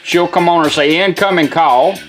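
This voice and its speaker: A man's voice: on the high side, moderate pace, fairly bright tone, soft background music. A man giving a casual, plain-spoken hands-on product demonstration in an informal way. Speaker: car radio restorer demonstrating product.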